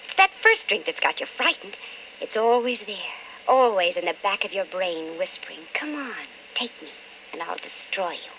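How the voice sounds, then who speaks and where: An actress playing an anguished, calculating wife of an alcoholic in an old-time radio drama, with intense, melodramatic delivery. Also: female voice, mid-range voice, very animated, normal speed, bright, forceful tone, dull audio, slight background noise. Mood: coaxing, insistent.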